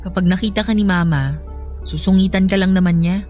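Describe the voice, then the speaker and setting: A woman's voice: medium pitch, a little fast, mellow, faint music behind, close to an everyday voice. A woman with a Filipino accent acting as a weary, exasperated young girlfriend in a radio drama, defensive and strained. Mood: reluctant. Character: weary, exasperated young teacher girlfriend torn under pressure.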